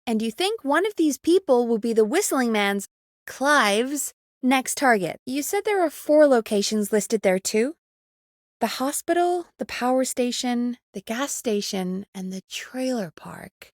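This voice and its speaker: An actress playing an earnest, wry woman who produces a radio show in a video game, speaking in an expressive, conversational way. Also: fairly high pitch, dry recording, clean studio sound, lightly acted.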